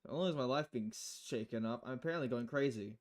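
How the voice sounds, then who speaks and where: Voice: medium pitch, fast talker, close to an everyday voice. A performer voicing a stressed, distracted young female bartender who rambles worriedly in visual novel dialogue. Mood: fretful.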